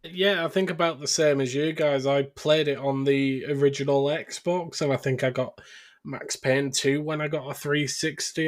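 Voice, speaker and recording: A man hosting a podcast, presenting and chatting in a casual style. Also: medium pitch. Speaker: podcast host.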